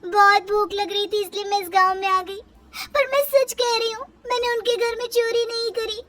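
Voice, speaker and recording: A woman's voice: very high-pitched, little pitch movement, moderate pace, a bit bright, pitched above the performer's own voice. A woman voicing a small, innocent orphan girl who speaks earnestly in a moral-story cartoon. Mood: pleading.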